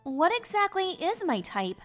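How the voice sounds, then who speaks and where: A woman acting awkward young mare, high voice, jumpy intonation, halting, bookish, storybook drama. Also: very animated, a bit bright, faint music behind, full character voice. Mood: baffled. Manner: hesitant, flustered, halting. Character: awkward, bookish young female pony, easily flustered. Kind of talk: dramatized story reading, character voice.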